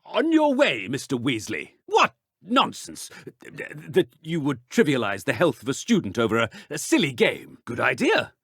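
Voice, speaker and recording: An actor playing a fussy, suspicious British wizard-school authority figure in a video game, with a pompous, halting, scolding manner. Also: male voice, mid-range voice, huge pitch swings, vocal fry, a bit bright, slightly rough, no room sound, studio quality, full character voice. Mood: indignant. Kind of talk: video game character dialogue.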